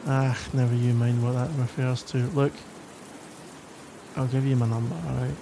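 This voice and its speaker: A man's voice: mid-range voice, level tone, moderate pace, background noise, lightly acted. A man with a Glaswegian accent playing a flirty, grumbly stranger in a conversational ASMR roleplay, wry and self-deprecating. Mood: teasing, wry. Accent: Glaswegian Scottish.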